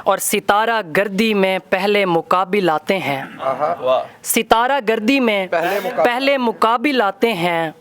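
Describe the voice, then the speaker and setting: Slow, high-pitched young man reciting poetry. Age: young adult. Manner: declamatory, repeats lines for emphasis. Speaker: poet. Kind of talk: live Urdu poetry recital, ghazal couplets. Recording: live event with loud crowd. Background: loud audience at a poetry gathering.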